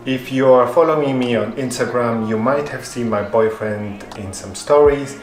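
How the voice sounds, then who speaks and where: A man in his twenties, a native Spanish speaker raised in Germany, reading out fan questions and answering them calmly in a vlog. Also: mid-range voice, moderate pace, echoey room. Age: 20s. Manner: reads each question aloud, then answers in a calm, even way. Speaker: fashion YouTuber. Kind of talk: Q&A vlog narration.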